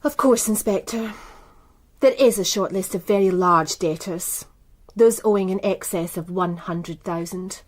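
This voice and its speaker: A woman's voice: mid-range voice, a little slow, lightly acted. An actress playing a cool, efficient businesswoman in a radio crime drama, guarded and formal in manner. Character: cool, efficient, composed businesswoman under questioning.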